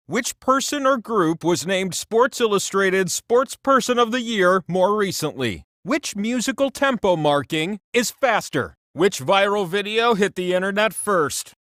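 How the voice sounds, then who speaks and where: A high-pitched, excitable game-show host for a quiz video game. The delivery is theatrical and quirky, leaping up and down in pitch with mock drama. The sound is dry and close. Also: male voice, no echo.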